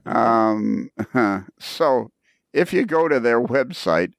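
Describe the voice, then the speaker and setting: A man's voice: mid-range voice, animated, very slow, creaky, dry recording. A man hosting a podcast in casual chat, with a dry, joking manner. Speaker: podcast host.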